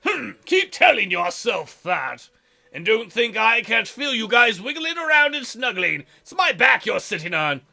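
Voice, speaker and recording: A man voicing a chatty, excitable dragon with a creaky voice and a theatrical, mock-indignant manner, in a game read-aloud. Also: high-pitched, measured pace, exaggerated voice. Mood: grumpy teasing. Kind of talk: character voice in a visual novel read-aloud.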